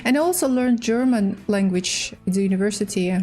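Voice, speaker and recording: A woman with a Russian accent, a native Russian speaker, hosting a casual chess livestream in halting, hesitant English. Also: medium pitch, a little mellow, faint music behind. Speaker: livestream host and chess player. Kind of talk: casual livestream chat while playing chess.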